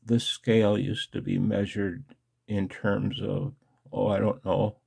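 An older man with a gravelly, husky voice talking casually and haltingly in a personal, emotional, confessional video blog. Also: on the low side, level tone, dark tone. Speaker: amateur radio video blogger. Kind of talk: personal screen-share vlog, casual monologue.

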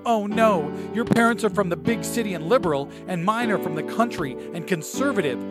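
Anxious spouse woman acting under loud music. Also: medium pitch, lively intonation, fairly quick, close to an everyday voice. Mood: anxious hope. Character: anxiously hopeful spouse in parody film trailer. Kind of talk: comedic trailer acting.

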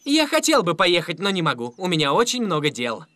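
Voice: mid-range voice, wildly swooping pitch, a little fast, a bit bright, no echo. An actor voicing a friendly, responsible young police car hero in a children's cartoon dub, instructive and encouraging. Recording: music behind the voice.

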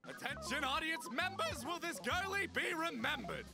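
A man's voice: very high-pitched, big pitch swings, moderate pace, creaky, heavily acted. A man voicing a chatty, insecure cat fortune teller with theatrical carnival patter in a comic game parody. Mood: showy. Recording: music under the voice.

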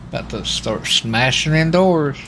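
A man's voice: on the high side, lively intonation, a little slow, a bit bright, slight background noise. A man chatting casually in folksy, colloquial phrasing while roleplaying a lawman in a video game. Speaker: streamer playing a US marshal in GTA roleplay.

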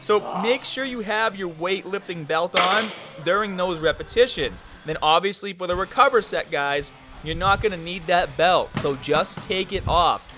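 Young guy coaching gym moves, upbeat, kinda high voice, a little music behind. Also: normal speed. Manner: energetic, coaching. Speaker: fitness coach. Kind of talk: workout instruction voice-over. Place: large gym, indoors.

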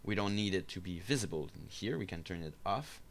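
Low, creaky-voiced man teaching software. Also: expressive, fairly quick, slight background noise. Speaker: tutorial instructor. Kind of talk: unscripted software screencast tutorial. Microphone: voice-over for a screen recording.

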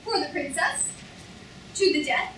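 A woman's voice: high-pitched, animated, measured pace, fairly bright tone. A woman playing an arrogant, boastful male schemer who thinks himself a genius, in an amateur staged comedy reading. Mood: smug, defiant. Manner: imperious, boastful. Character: small arrogant villainous male schemer, self-proclaimed genius. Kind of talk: amateur staged reading of a comic fantasy play. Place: on stage in a hall, audience camera. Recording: roomy distant stage recording, faint noise.

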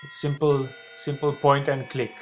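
A man narrates an informal software demonstration for a video blog, speaking at a middling pitch. He explains things step by step in a halting, unscripted way. A noticeable hum and background noise sit under his voice. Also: little pitch movement. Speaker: ham radio video blogger demonstrating software. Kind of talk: unscripted screencast tutorial.

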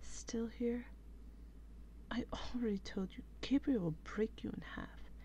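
A woman playing an exasperated, protective female vampire in a breathy, whispery ASMR role-play, with slow and dramatic reproach. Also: a little high, warm tone, natural voice. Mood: irritated, weary.

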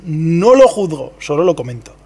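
A man's voice: on the high side, very animated, normal speed, gravelly, darkish tone. A man co-hosting a podcast outdoors, bantering in a joking, mock-dramatic way. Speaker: podcast co-host. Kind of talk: casual banter. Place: outdoor terrace by a brick wall.

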